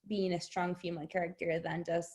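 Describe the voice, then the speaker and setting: A middle-aged woman from Argentina, a native Spanish speaker, talking as an author guest in an interview, animated and laughing often. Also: lowish voice, a little fast. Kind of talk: conversational interview.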